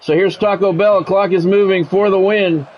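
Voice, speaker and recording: Higher-pitched man calling football play-by-play. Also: fairly even intonation, normal speed, dull audio. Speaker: game streamer calling play-by-play of his own football video game. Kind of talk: sports play-by-play commentary mixed with casual gamer talk.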